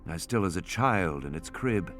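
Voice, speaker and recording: A man narrating a suspense novel as an audiobook, in an expressive, dramatic delivery. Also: low-pitched, normal speed. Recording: added music and sound effects underneath. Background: music and sound effects.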